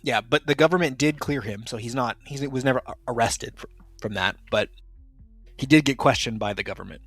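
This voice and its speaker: A man's voice: medium pitch, a little fast, fairly bright tone, no echo, soft background music. A man narrating as game master in a casual tabletop game podcast, explaining at length with lots of fillers and restarts.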